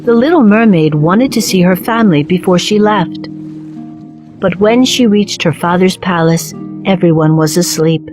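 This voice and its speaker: A woman storyteller giving a measured, scripted narration of a children's story. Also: on the low side. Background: soft music.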